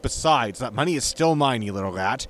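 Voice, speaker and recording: A man voices a brash, argumentative starship captain in an audio sci-fi comedy. His mid-range voice is highly animated, with blunt, informal, irritable phrasing. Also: a little fast, close to an everyday voice. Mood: indignant, defiant. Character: cocky, quarrelsome male spaceship captain. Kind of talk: science fiction comedy audio drama acting.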